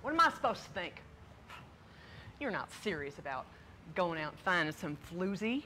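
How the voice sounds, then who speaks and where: An actress playing a cautious, scolding older sister in a stage play, blunt and exasperated. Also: female voice, lowish voice, big pitch swings, moderate pace, bright, forceful tone, slight background noise. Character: scolding, cautious, embittered older sister, set in her ways.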